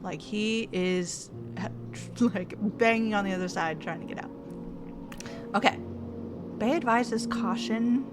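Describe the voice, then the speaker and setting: A woman's voice: mid-range voice, slow, background noise. A young woman streaming a narrative game, thinking aloud and reading its text in casual commentary.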